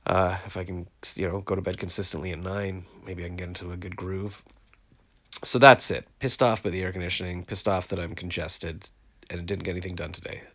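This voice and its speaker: A man's voice: low-pitched, steady tone, fairly quick, fairly bright tone. A congested man chatting casually to viewers on a phone live stream, frustrated and rambling. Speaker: vlogger hosting a live stream. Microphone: phone, live stream from home.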